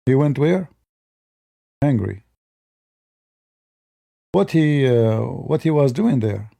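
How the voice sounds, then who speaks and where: Mocking man with a mellow voice. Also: medium pitch, lively intonation, takes it slowly, gravelly. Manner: mocking, rambling, with filler restarts. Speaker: commentator. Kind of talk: political commentary to viewers.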